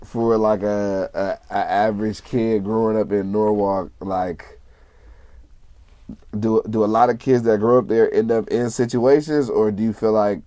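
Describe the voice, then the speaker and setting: A man's voice: fairly low pitch, fairly even intonation, unhurried, mellow, no room sound. A man hosting a casual interview, asking questions in an easygoing way and often answering with short agreeing replies. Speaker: interview host.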